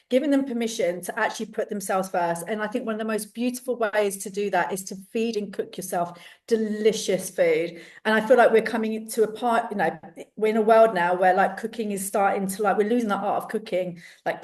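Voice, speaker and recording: A woman with a strong London accent, talking as a podcast guest over a remote call. She sounds a little distant from the mic, at a middling pitch. She talks with enthusiasm, laughs easily and restarts her sentences. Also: a little mellow. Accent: London English. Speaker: guest, cookery teacher and self-love coach. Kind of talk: casual podcast interview.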